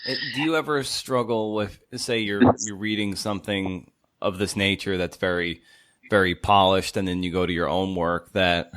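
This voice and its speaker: A man hosting a podcast, asking questions in a halting way and repeating words as he goes. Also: low-pitched, steady tone, a bit bright, no echo. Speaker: podcast host. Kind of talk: interview questions.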